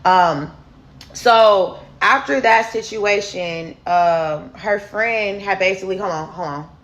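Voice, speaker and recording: A deep-voiced woman, candidly telling viewers a personal story in a casual storytime vlog. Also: slow pace, fairly bright tone. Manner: candid, chatty, addresses viewers as y'all. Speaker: storyteller host.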